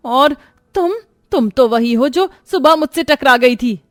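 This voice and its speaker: A woman's voice: sits high, unhurried, no echo, clean studio sound, pitched above the performer's own voice. A woman voicing a strict, middle-aged mother-in-law in a moral-story cartoon, indignant and domineering as she lectures. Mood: outraged.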